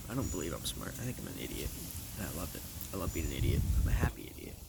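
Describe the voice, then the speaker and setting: A man's voice: deep voice, vocal fry. A man chatting casually to viewers in a goofy, self-mocking, easygoing way. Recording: loud background noise.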